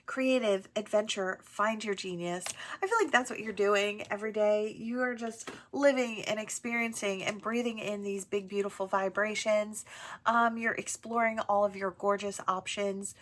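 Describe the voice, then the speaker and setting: A woman's voice: medium pitch, fairly even intonation, moderate pace, slight background noise. Stuffy-voiced woman giving a tarot reading. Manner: gentle, affirming, many fillers and "right?". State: stuffy from allergies. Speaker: tarot reader. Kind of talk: channeled oracle reading to camera.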